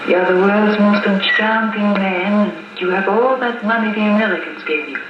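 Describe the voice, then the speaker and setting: An actress playing a playful, adoring foreign sweetheart who speaks deliberately and coquettishly in a 1950s radio drama, on an old wire recording. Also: female voice, mid-range voice, lightly acted. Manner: coquettish, deliberate uncontracted phrasing. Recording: muffled, noisy, echoey 1950s wire recording.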